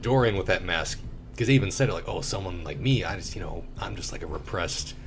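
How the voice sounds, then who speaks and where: A deep, low-voiced man chatting casually as a podcast co-host. He sounds laid-back, swears freely and laughs often. A faint hiss of noise sits behind him. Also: lively intonation, brisk, creaky. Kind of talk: casual movie review chat.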